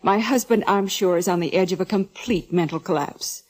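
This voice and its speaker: An actress playing a refined, anxious middle-aged wealthy wife, dramatic and pleading in 1940s radio style. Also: female voice, fairly low pitch, normal speed, darkish tone, natural voice. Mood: worried, pleading. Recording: old 1940s radio recording.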